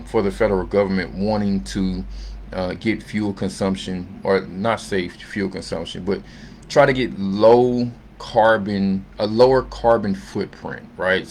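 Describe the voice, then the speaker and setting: A man giving an informal, unscripted financial commentary as a presenter, talking in a conversational, halting way and often checking in with tag questions. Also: sits low. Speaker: presenter of an investment earnings summary. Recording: noticeable background noise.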